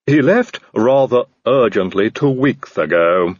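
A man voicing a calm male odd-job servant, stitched together from many men, speaking slowly and politely. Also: medium pitch, no echo, dull audio. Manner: slow, unruffled, oddly polite.

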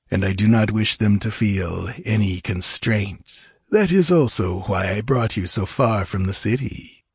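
A man's voice: on the low side, slightly rough, no echo, studio quality, exaggerated voice. A man voicing a smug, cruel military commander who taunts with formal courtesy, in an audiobook. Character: mocking, cruel military commander gloating over his victim. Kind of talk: audiobook character voice.

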